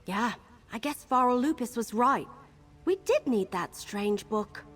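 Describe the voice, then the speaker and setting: A woman's voice: fairly high pitch, lively intonation, slow, faint music behind. A woman playing an upbeat, eager adventuring companion in a fantasy game, chatty and enthusiastic. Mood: upbeat, relieved. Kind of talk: scripted fantasy game dialogue.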